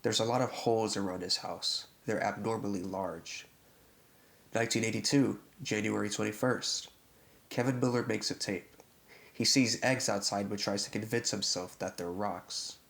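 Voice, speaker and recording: A man narrating a scripted horror story recap in a deliberate way, reading out events in short phrases with a gravelly, creaky voice. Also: fairly low pitch, fairly even intonation, fairly bright tone.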